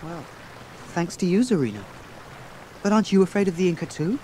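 An actor playing a plucky, earnest young adventurer in a radio drama, with a British accent and a polite, eager manner. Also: male voice, high voice, expressive, a little fast, dark tone, a little hiss or hum, poor audio. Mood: grateful, amazed.

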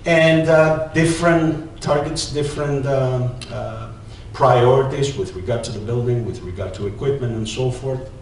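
Middle-aged man, Greek accent, conference presenter, hesitant, booming hall echo, faint hiss. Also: darkish tone. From Greece. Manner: hesitant, many filler "uh"s. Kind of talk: conference talk. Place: large lecture hall, at a lectern. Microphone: lectern mic, filmed by a far camera. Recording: very echoey hall, distant mic, faint hiss.

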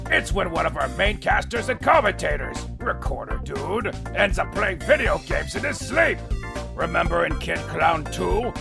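Gravelly high-voiced male narrator. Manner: upbeat, playful announcer delivery. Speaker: host. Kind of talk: scripted narration.

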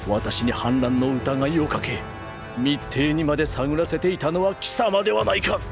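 A man's voice: on the high side, normal speed, gravelly. A man acting a proud, bitter defeated general in a Japanese video game, speaking stiffly and formally. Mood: bitter, defiant. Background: background music.

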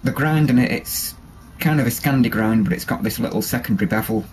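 A man casually showing a small knife in his workshop, relaxed and hesitant, with many ums and restarts, recorded on the camera's mic. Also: medium pitch, a little fast, slight background noise. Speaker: bushcraft hobbyist showing a knife. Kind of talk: casual show-and-tell. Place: workshop with a grinder and workbench.

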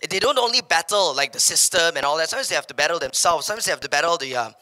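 A young Malaysian man with a Malaysian English accent, giving an energetic motivational talk on stage in a large hall through a handheld mic. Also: a little high, racing. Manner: energetic, paces the stage, slips into local slang when quoting others. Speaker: keynote speaker. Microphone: handheld microphone.

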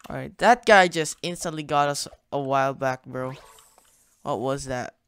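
A man's voice: mid-range voice, moderate pace, a bit bright, clean tone, dry recording. A young man hosting a gaming channel, casually narrating his play in a chatty, slangy way, thinking aloud as he goes. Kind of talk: casual gameplay commentary.

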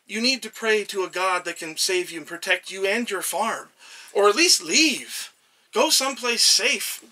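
A man voicing a small, devoted male shrine god who talks in an anxious, excitable, affectionate way. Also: high voice, unhurried, breathy edge, heavily acted. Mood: urgent.

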